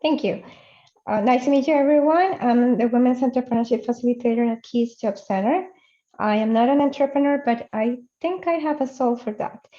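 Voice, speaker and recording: A woman's voice: fairly high pitch, fairly quick. A woman from Peru, an entrepreneurship facilitator introducing herself encouragingly on a video call. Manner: warm and encouraging, with slight grammar slips. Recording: dull lo-fi video call audio.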